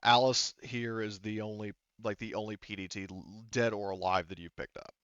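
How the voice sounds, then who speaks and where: A man narrating a tabletop roleplaying game as game master, explaining things in a halting, wordy way. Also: fairly low pitch, steady tone, fairly bright tone, clear, resonant tone, dry recording, dull audio.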